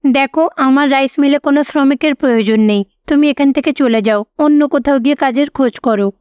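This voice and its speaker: A woman voicing a harsh, sharp-tongued older mother-in-law who scolds and bosses in a cartoon. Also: sits high, a little fast, no room sound, lo-fi sound, close to an everyday voice. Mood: stern.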